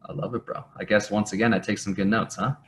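A man's voice: on the low side, steady tone, fast, a bit bright. Upbeat young man on a noisy call. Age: young adult. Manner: upbeat, bro-style slangy talk. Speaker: health and business coach. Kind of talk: conversational sales call. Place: home basement room.

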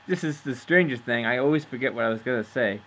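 A man's voice: medium pitch, animated, moderate pace, sharp tone. Male gamer narrating, chatty and meandering, mid-range voice, recorded with audible background noise. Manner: rambling, self-amused, loses his train of thought. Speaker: gameplay narrator. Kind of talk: casual game commentary.

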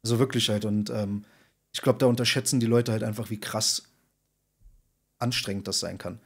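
A man in his thirties, a podcast guest, talking in an informal, slangy, emphatic way with a husky voice. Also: fairly low pitch, steady tone, normal speed. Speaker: podcast guest, content creator and streamer. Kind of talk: casual interview chat. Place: indoor room, video call.

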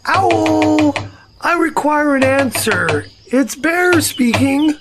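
A man voicing a gentle, simple-minded young male bear who muddles long words, in an amateur family audio drama. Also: very high-pitched, lively intonation, very slow, gravelly, background noise, full character voice. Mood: earnest.

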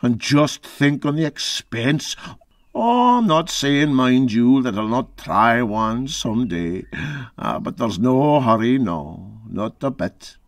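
A man voicing a garrulous, boastful old sea captain with a Scottish Highland accent in a storybook reading. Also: lively intonation, takes it slowly, no room sound, studio quality. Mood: cocky. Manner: garrulous, folksy, emphatic.

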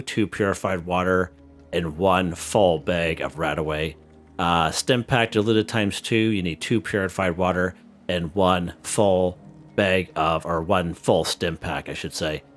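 A man hosting a casual gameplay tutorial, relaxed and step by step, who is losing his voice. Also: sits low. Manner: relaxed, walks viewers through step by step. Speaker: gaming channel host.

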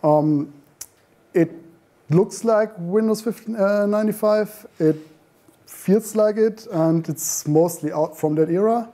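A man's voice: sits high, slow, warm tone. A man in his thirties giving an informal meetup talk in a meeting room, full of ums and restarts, recorded by a camera at the back. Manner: informal, frequent ums and self-corrections. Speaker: meetup speaker. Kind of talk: conference talk. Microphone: far camera.

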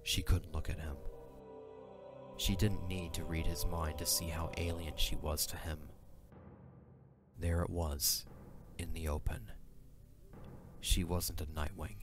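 A man with a deep, low voice narrates a fantasy audio drama. His delivery is scripted, dramatic storytelling. Faint music plays beneath him. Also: flat delivery, a little slow, pauses often.

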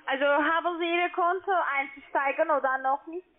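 High-pitched woman, foreign accent, non-native speaker, halting phone sales agent, tinny narrow line. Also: steady tone. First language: not German. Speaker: call centre account agent selling online trading.

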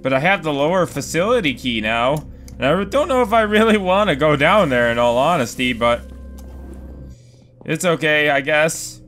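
A man's voice: on the high side, expressive, moderate pace, a bit bright, soft background music. A young man casually commenting on a horror game he is playing, rambling, swearing often and talking to himself. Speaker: gaming video host. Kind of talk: casual let's play commentary. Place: home room.

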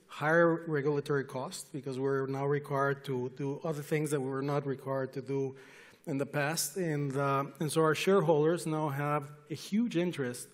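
A man in his forties, an industry association chair, making an earnest, insistent case on a conference panel. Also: mid-range voice. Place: large conference hall stage. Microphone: headset mic. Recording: long hall reverb.

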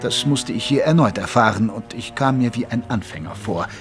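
Guy as army doctor narrating an audio drama, normal mid voice, measured n dry, kinda reluctant, bit of music under it. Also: medium pitch, animated, close to an everyday voice. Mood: weary, wry. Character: sober, reluctant English army doctor narrating his captivity. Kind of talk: scripted first-person audio drama narration.

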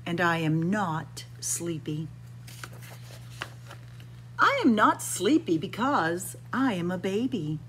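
A middle-aged woman reads a children's picture book aloud at a very slow, careful pace. Her slightly low voice moves expressively through sing-song phrases, as a storyteller speaking to small children. There is some background noise in the room. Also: fairly bright tone. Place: indoors in a library room with bookshelves.

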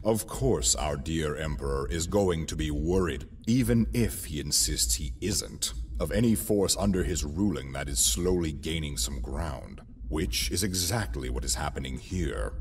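A man playing a scheming imperial governor in a sci-fi audio drama, formal and measured with an oily courtesy. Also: very deep voice, lively intonation, a little hiss or hum, exaggerated voice. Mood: smug, commanding. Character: scheming imperial governor, outwardly deferential, secretly mutinous. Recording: film music behind the voice.

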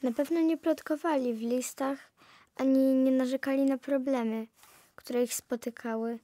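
A female performer playing an encouraging, gently instructive young believer in an amateur radio play. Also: high voice, fairly even intonation, moderate pace, dry recording, lightly acted.

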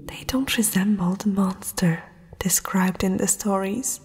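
Casting a woman as a spellbound young princess: haughty, hesitant, mid-pitched breathy whisper, very unhurried, fantasy ASMR. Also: darkish tone. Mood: dazed, dreamy. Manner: slow, hesitant, dreamy delivery. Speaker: ASMR roleplay performer.

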